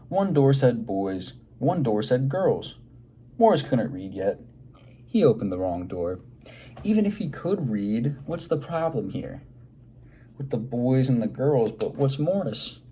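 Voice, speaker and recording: A man reading a picture book aloud to children in an expressive way, pausing to prompt them with simple questions. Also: mid-range voice, slight background noise.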